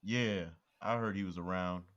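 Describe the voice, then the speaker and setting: A man's voice: low-pitched, fairly even intonation, normal speed, a bit bright, highs cut off. An actor playing a laid-back young male police officer who teases his best friend in casual talk. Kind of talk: audio drama acting.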